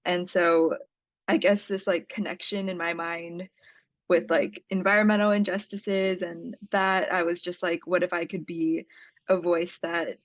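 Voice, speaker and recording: A woman's voice: lowish voice, little pitch movement, a little slow. A young woman from Hawaii telling personal stories conversationally as a podcast guest, on a slightly dull recording. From Hawaii, United States. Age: young adult. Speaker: podcast guest, climate impact producer. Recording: roomy, slightly dull lo-fi sound.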